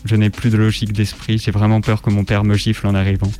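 A man's voice: on the low side, completely flat delivery, fast talker, dark tone, soft background music. A man reading a first-person literary narrative as scripted radio narration. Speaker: reader on a radio show.

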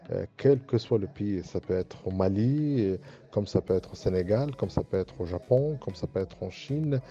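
A middle-aged man from Morocco, an Arabic speaker, giving an earnest, measured interview as a university professor, often pausing on hesitant fillers. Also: deep voice, mellow, highs cut off. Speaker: university professor and engineering director. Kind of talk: interview testimony for a student podcast.

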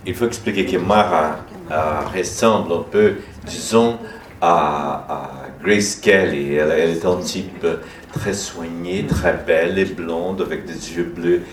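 Lower-voiced man, halting French, distant. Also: fairly even intonation, roomy sound, slight background noise. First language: Portuguese. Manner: searching for French words, halting. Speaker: novelist. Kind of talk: panel discussion.

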